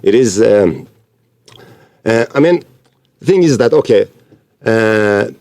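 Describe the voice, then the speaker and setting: A man from Iran with an Iranian accent, a guest comedian talking in halting, broken English with many restarts. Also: fairly low pitch, animated, a little mellow, no echo, studio quality. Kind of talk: casual interview chat.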